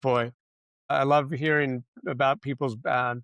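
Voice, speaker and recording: A man hosting a podcast interview, asking friendly, measured questions. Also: mid-range voice, steady tone, vocal fry, fairly bright tone, clear, resonant tone, no room sound. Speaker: podcast host.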